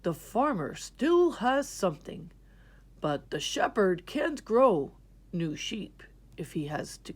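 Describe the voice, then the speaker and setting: Woman reading as a fair, measured storybook king. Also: mid-range voice, exaggerated voice. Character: fair, patient king, thoughtful adult man.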